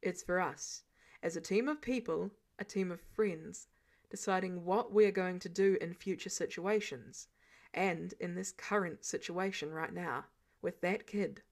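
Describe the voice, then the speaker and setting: A woman voicing an earnest, weary male hero and team leader in a measured, subdued, sincere way for an audio story. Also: fairly low pitch, voice pushed down. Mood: firm, calm.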